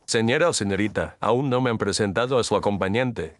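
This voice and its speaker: Man playing composed low-voiced Victorian detective. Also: no room sound, clean studio sound, natural voice. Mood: composed, incisive. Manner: measured, formal, incisive. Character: composed, astute Victorian male detective, courteous but commanding. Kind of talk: audio detective story dialogue.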